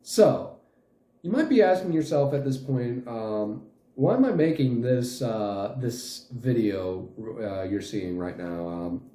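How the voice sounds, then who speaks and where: A man in his late twenties giving a casual channel update in a halting, rambling way. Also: medium pitch, expressive, dark tone. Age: late 20s. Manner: halting, rambling, frequent chuckles mid-sentence. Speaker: channel host and fan dub group leader. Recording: roomy echo, faint music behind.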